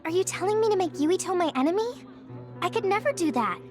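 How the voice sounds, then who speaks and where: An actress playing an earnest, emotional and loyal young woman in a video game, with an expressive, pleading manner. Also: very high-pitched, fast, soft background music. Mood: indignant.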